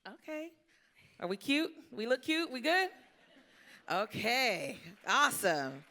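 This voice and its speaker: A woman's voice: a little high, very animated, takes it slowly, pushed and bright. A woman hosting an informal live panel, talking in a folksy, colloquial, encouraging way. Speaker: panel moderator. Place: indoor luncheon event before an audience. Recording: live event room with crowd.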